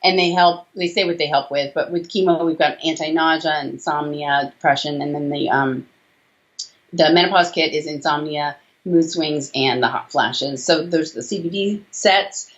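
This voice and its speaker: A woman's voice: sits low, moderate pace. A woman in her forties speaking as a doctor in a conversational interview, talkative and emphatic, listing details over a video call. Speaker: doctor and author, interview guest. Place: small room indoors, video call. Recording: slightly dull video-call audio.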